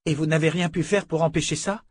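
A man's voice: on the high side, fairly even intonation, fast talker, husky, dry recording, pitched above the performer's own voice. Guy reading as a proud young female inquisitor, audiobook, earnest, slightly raised voice, dry sound. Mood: challenging.

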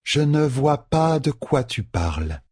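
Audiobook fantasy guard, a hard young woman, read by a man: husky, drawn-out, dry and scornful. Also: medium pitch, no echo, voice pushed up, exaggerated voice. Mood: cold, guarded. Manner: slow, deliberate, dry deadpan. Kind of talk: audiobook fantasy character dialogue.

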